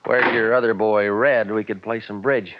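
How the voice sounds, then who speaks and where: An actor playing a cynical, wisecracking private detective in a 1940s radio drama, clipped and dry. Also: male voice, on the low side, steady tone, moderate pace, dry recording, close to an everyday voice. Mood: sardonic. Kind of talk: 1940s radio acting, noir narration. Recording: lo-fi 1940s radio, music behind.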